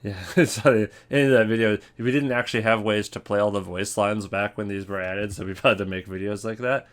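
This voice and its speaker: A man's voice: fairly low pitch, fairly even intonation, brisk. A man hosting a video with casual, rambling, offhand gaming commentary. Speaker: video host.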